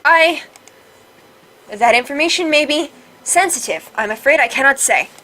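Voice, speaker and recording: A woman's voice: high voice, expressive, moderate pace, bright, forceful tone, pitched above the performer's own voice. A woman voicing a polite, stubborn, literal-minded water spirit AI in a formal, precise way, recorded with some noise. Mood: formal, evasive. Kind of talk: reading visual novel dialogue aloud.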